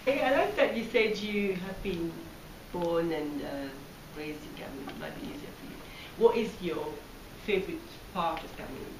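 A woman's voice: fairly low pitch, moderate pace. A woman on a casting panel asking short questions and giving encouraging acknowledgments, heard from off camera. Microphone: far camera. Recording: echoey room, far camera, hiss.

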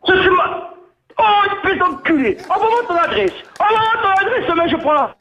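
A man in a heated argument over the telephone, emphatic and repetitive, quick to anger. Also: extremely high pitch, expressive, pushed and bright. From Corsica, France. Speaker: prank call victim. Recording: dull lo-fi phone line.

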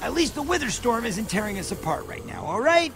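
A man's voice: very high voice, sing-song, a little fast, background noise, full character voice. A man playing a cocky, blustery adventurer in a video game, brash and emphatic with a wry edge. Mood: defensive.

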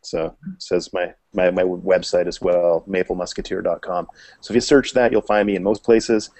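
Low-voiced rambling Canadian man on a video call. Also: little pitch movement, normal speed, a little mellow, no echo. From British Columbia, Canada. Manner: rambling, self-correcting, jokey. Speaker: panel guest, photographer. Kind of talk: casual video call chat. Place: living room at home. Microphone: webcam video call.

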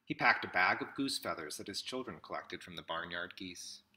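A man in his thirties reads a storybook aloud in measured short phrases with pauses, recorded indoors at arm's length from the mic. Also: deep voice, expressive, sharp tone. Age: 30s. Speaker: picture book reader. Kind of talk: read-aloud storybook narration. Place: small indoor room with classroom wall displays.

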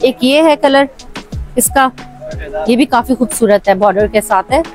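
A woman's voice: on the high side, measured pace, soft background music. A woman in her thirties with a Pakistani Urdu accent, chatting eagerly with a shopkeeper in a crowded fabric shop, on a clip-on mic. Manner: chatty, eager to see every colour. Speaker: vlogger. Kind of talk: shopping vlog chat with shopkeeper. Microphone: clip-on lapel mic.

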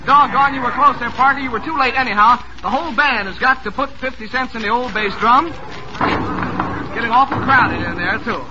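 A man's voice: very high voice, brisk, dull audio. A man hosting a 1940s radio music quiz, jovial and teasing as he reads out listeners' questions. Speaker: quiz show host. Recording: noisy old radio broadcast.

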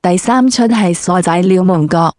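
A woman's voice: lowish voice, normal speed, darkish tone, dry recording. A woman with a Cantonese accent putting brash, slangy questions as an interviewer. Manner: brash, crude street slang.

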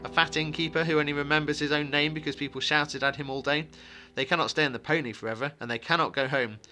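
A man's voice: a little high, steady tone, fast, pushed and bright, voice pushed up. A man voicing a lean, guarded, sardonic ranger in a fantasy audiobook, speaking in a grave, terse, biting way. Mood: scornful. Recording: music behind the voice.

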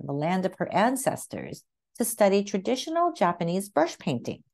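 A woman reading a children's picture book aloud as narrator, with expressive, carefully phrased storytelling. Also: mid-range voice.

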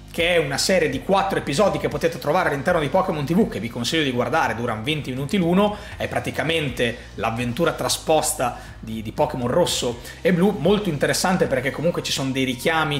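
Guy in his 30s telling a theory to camera, animated, bit of room echo, soft music under. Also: medium pitch. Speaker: video host and commentator. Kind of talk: enthusiastic explainer monologue to camera. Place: small furnished room at home, indoors.

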